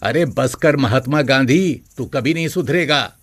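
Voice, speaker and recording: A man's voice: mid-range voice, unhurried, a bit bright, no echo. An actor playing a haughty, scornful rich father who scolds with contempt in a story. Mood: scolding.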